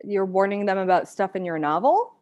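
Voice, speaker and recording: A woman's voice: medium pitch, lively intonation, brisk, darkish tone, muffled recording, lightly acted. Woman playing fed up but caring girlfriend, natural delivery, drama table read. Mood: exasperated. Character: frustrated but concerned girlfriend, direct, exasperated. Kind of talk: live table read acting.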